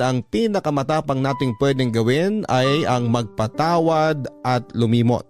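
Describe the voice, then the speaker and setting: A Filipino man with a medium-pitched voice reads like a classic radio storyteller. His delivery is scripted and dramatic, as a host presenting a listener's letter. Music plays clearly behind him. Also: a little mellow. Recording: voice over a clear music bed.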